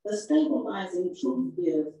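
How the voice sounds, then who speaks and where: A woman minister giving a measured, emphatic devotional reading in a church sanctuary. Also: medium pitch, big pitch swings, mellow. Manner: measured, emphatic pulpit delivery. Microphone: far camera. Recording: echoey church from far off.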